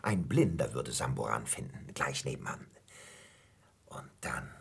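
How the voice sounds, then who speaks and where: An actor playing a spiteful, scheming lecher in a radio drama, conspiratorial and goading. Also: male voice, rumbling low, very animated, a little slow, vocal fry, breathy edge, heavily acted. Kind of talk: German radio drama acting.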